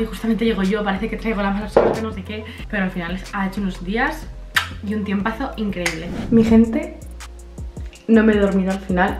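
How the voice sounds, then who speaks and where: A young woman in her twenties chats breezily to a camera held at arm's length, bubbly and full of little fillers. Her pitch sits mid-range for a woman, her nose a bit blocked by allergies. Soft music plays faintly underneath. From Spain. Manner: chatty and enthusiastic, full of fillers and little diminutives. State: stuffy from allergies. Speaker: vlogger. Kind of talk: casual vlog chat to camera. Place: hotel room and outdoors in a city. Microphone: handheld camera at arm's length.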